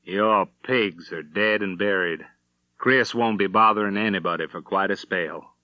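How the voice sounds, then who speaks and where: A stern, calm gunfighter from a western audiobook, acted by a male narrator, speaks softly in a lowish voice. He is terse and deliberate, on a dry, slightly dull recording. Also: no room sound. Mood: cold disgust. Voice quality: soft-spoken. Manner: deliberate, restrained.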